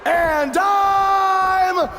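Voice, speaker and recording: An actor playing a wrestler who mockingly imitates a vain star, pompous and theatrical, in a video game. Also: male voice, extremely high pitch, fairly even intonation, extremely slow, raised voice, long reverb tail, over loud music, a loud crowd, heavily acted. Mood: mocking, bombastic. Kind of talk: video game parody promo.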